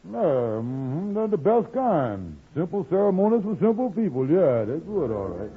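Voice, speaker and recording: An actor playing an excitable, nosy, good-hearted friend in old radio comedy, with a raspy voice and folksy dialect grammar. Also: male voice, fairly high pitch, measured pace, full character voice. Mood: curious, chatty. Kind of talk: 1940s radio comedy acting. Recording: muffled vintage radio recording.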